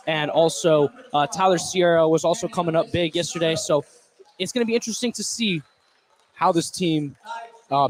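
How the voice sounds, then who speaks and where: A man working as a color commentator, giving conversational basketball analysis in a halting way, repeating words as he thinks aloud. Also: fairly high pitch. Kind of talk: conversational live sports analysis.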